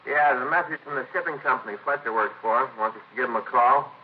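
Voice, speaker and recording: A man's voice: mid-range voice, quick pace, lightly acted. A man playing a casual, helpful police detective partner in a 1950s radio drama, with clipped everyday delivery. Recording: muffled, noisy old radio broadcast.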